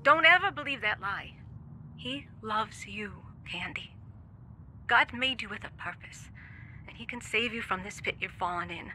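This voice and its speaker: An earnest, bright-voiced believing friend. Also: female voice, mid-range voice, lively intonation, moderate pace, slight background noise, poor audio. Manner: earnest, pleading.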